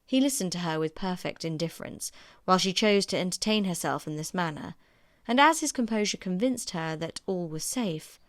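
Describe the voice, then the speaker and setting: A woman narrates a classic novel for an audiobook. Her voice sits a little low for a woman, and the recording is very dry, with scripted literary phrasing. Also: animated, normal speed, dry recording.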